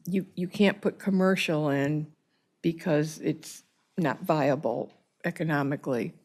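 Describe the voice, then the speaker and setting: Loud woman council member, slow halting delivery, lower pitch, earnest and insistent. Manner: halting, many restarts and fillers, earnest. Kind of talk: council debate. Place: council chamber.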